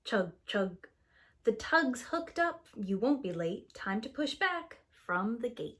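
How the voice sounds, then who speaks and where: A woman's voice: on the high side, very animated, slow, a bit bright. A woman reading a children's story aloud expressively, with a rhythmic delivery and acted-out sound words. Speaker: preschool teacher reading a picture book aloud.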